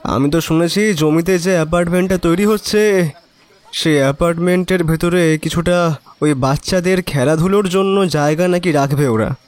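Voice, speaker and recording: A man's voice: fairly high pitch, moderate pace, no echo, close to an everyday voice. An actor playing a cynical, resigned young neighbourhood man, talking colloquially and wryly in an audio drama. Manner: colloquial, emphatic repetition, wry. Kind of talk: Bengali audio drama acting.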